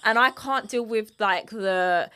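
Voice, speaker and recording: A woman with a London accent, speaking as an interview guest in a relaxed, candid way that often trails off. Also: mid-range voice, slow, noisy background. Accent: London English. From East London. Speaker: guest, filmmaker and single mother. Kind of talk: casual conversational interview.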